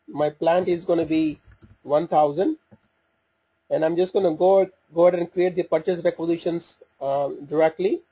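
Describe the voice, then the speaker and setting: A man giving an informal step-by-step software walkthrough, speaking haltingly as he thinks aloud while working through the screens. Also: on the high side, steady tone, breathy edge. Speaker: software demonstrator. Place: voice-over for a screen recording.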